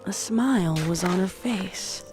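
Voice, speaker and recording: A woman narrating a scripted horror story in a deliberate, dramatic way. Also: medium pitch, music in the background.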